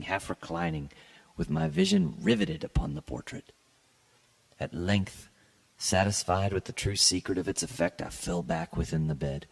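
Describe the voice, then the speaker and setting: A man giving a solemn, theatrical, measured dramatic reading of a gothic short story. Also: sits low, vocal fry, slightly rough, low-quality recording. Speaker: literary podcast reader.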